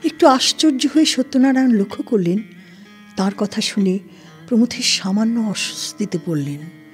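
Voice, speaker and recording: A woman's voice: fairly high pitch, lively intonation, normal speed. A woman reading scripted story narration as the narrator, with plucked string music behind her. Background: plucked string instrument music.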